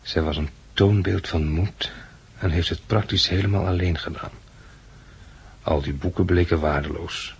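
An actor playing a steady, practical survivor leading his group in a 1960s radio drama, husky and measured. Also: deep voice, full character voice. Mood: earnest, narrating. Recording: muffled 1960s radio recording.

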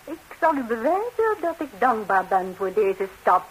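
An actress playing a composed, sharp-tongued betrayed wife in a 1960s radio comedy, answering with cool, pointed deliberation on a hissy recording. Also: female voice, a little high, sing-song, normal speed, slightly rough, low-quality recording. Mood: dry, vengeful.

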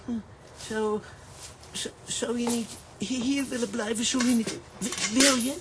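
A woman's voice: on the high side, fairly even intonation, a little slow, noisy background, lightly acted. A woman voicing a small, cheeky enchanted coffee pot, eager and childlike, in a children's radio play. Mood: eager, shy. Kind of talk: children's comic-strip radio play acting.

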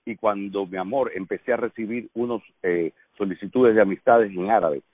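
A man's voice: lowish voice, moderate pace, no echo. Middle-aged Cuban man, actor guest, chatty storyteller, phone-line sound. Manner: lively storyteller, laughs often. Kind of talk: casual radio conversation.

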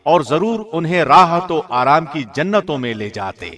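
A man reading a scripted scripture translation in a measured, formal radio-presenter manner. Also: on the high side, lively intonation, fairly bright tone. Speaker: translation reader, radio host.

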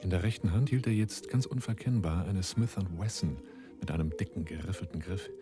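An actor playing a wry, unflappable smuggler and husband in a German radio play, dry and mocking. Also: male voice, sits low, brisk, dark tone, faint music behind, close to an everyday voice. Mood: cool narrating.

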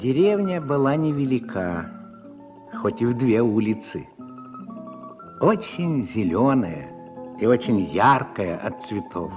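A man narrates a literary radio play. His mid-pitched voice moves with very lively intonation as he reads the scripted prose, and faint music sits behind him.

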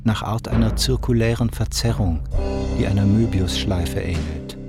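Actor as gravelly radical theorist, loud music. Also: male voice, fairly low pitch, moderate pace, natural voice. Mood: severe, resolute. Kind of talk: radio drama monologue of dense theory.

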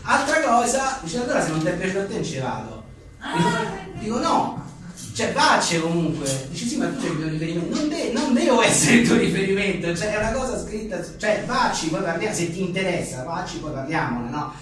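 A man's voice: high voice, sing-song, fairly quick, a loud crowd. A man being interviewed as an author at an informal book presentation, rambling animatedly and acting out imagined exchanges. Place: echoey indoor venue at a live event. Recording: very echoey room, distant mic, faint noise.

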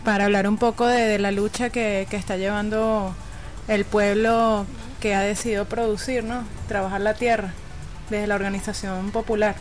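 A woman's voice: medium pitch, little pitch movement, normal speed, faint music behind. Hesitant woman radio guest. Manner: hesitant, many fillers. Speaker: guest from a TV producer. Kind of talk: casual radio interview.

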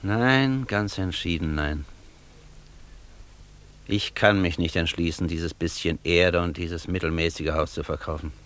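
An actor playing a proud, worldly scholar in a theatrical radio play, speaking with assured, ironic declamation. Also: male voice, sits low, a little slow, a bit bright. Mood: proud, reflective.

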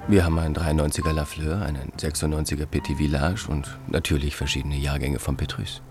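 Very deep-voiced, deferential barman. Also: level tone, a little fast, music in the background. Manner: formal, apologetic. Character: courteous, deferential, reluctant male hotel barman. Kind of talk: German radio drama acting.

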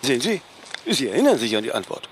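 An actor playing a vain, cantankerous celebrity philosopher in a radio play, imperious and full of reproach. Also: male voice, medium pitch, big pitch swings, fast talker, a little hiss or hum, natural voice. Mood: reproachful. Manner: imperious, reproachful, verbal tags like "ne?". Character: vain, cantankerous celebrity philosopher, prickly about his mother. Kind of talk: German radio play dialogue.

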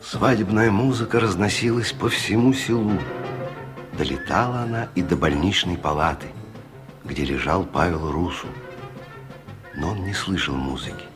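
A man narrating a 1970s radio drama in a calm, even storytelling manner. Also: sits low, normal speed, over music.

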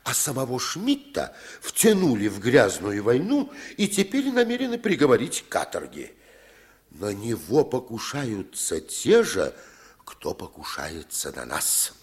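Slow, stern old resistance leader. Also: male voice, medium pitch, a little mellow. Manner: deliberate, weighty, drawn-out phrasing. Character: old French villager, stern, decisive underground communist leader. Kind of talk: 1950s radio play acting.

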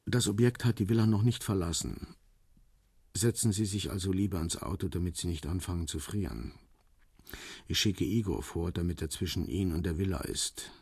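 Gravelly, very deep cool operative. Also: male voice, moderate pace, a little mellow, no room sound. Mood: cool, businesslike. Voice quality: gravelly, husky. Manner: terse, businesslike instructions. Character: cool, commanding Russian undercover operative leading an ambush. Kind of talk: radio crime drama acting.

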